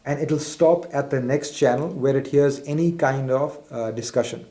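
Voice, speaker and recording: Male product demonstrator, mid-pitched, methodical and explanatory, unscripted tutorial delivery. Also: normal speed, mellow. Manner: methodical, explanatory, formal wording. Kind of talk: instructional product walkthrough, unscripted.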